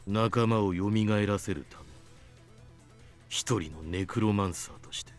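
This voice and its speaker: An actor playing a coldly resolved exiled necromancer in a visual novel, solemn and brooding. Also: male voice, sits low, fairly quick, faint music behind, natural voice. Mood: grim resolve. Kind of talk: Japanese visual novel voice acting.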